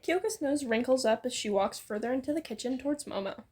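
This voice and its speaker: A woman's voice: high voice, lively intonation, fast, a little mellow, husky. A woman co-narrating fan fiction in an informal, playful storytelling read. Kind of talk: expressive fan fiction reading.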